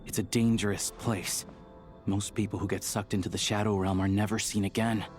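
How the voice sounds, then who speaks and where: An actor playing a serious, steady young man in a video game, speaking in a measured, earnest way. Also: lowish voice, faint music behind, close to an everyday voice. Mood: grave, steady. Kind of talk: dramatic video game acting.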